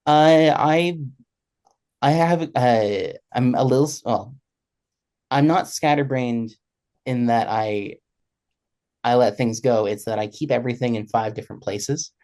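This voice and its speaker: A man's voice: mid-range voice, a little slow, pauses often, darkish tone. A man in his twenties speaking as a panelist in a reflective conversation, earnest and often correcting himself as he goes. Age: 20s. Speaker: panelist, freelance musician.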